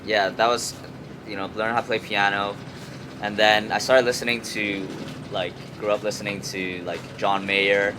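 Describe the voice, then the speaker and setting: Young man from Hong Kong, deep voice, casual stop-start slangy talk, recorded on a noisy urban rooftop. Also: flat delivery. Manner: halting, repeats words, slangy with frequent "like" and "you know". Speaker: music artist, interview guest. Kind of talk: casual chat. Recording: clear outdoor city traffic noise.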